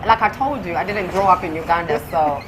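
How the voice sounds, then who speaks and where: A woman's voice: fairly low pitch, fairly quick. A blunt, outspoken woman in her thirties, raised in the UK, talking as an interview guest. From United Kingdom. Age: 30s. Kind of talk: gossip interview, mixing Luganda and English. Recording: noisy background hiss.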